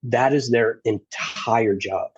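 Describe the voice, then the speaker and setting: A man talking as a podcast guest in casual conversation, earnest and halting, with frequent restarts. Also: mid-range voice, slightly rough, dry recording, dull audio. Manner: earnest, halting, many restarts and "you know"s. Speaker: podcast guest, former federal officer and navy corpsman.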